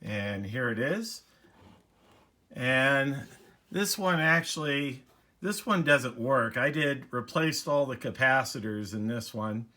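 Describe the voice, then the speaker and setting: A man giving a casual, unhurried, rambling demonstration as a hobbyist presenting a video. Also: medium pitch, animated, sharp tone.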